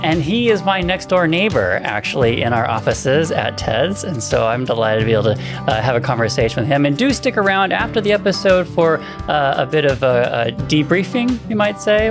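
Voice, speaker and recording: A man co-hosting an academic podcast in a casual, conversational way, haltingly repeating words as he goes. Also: on the high side, big pitch swings, over music.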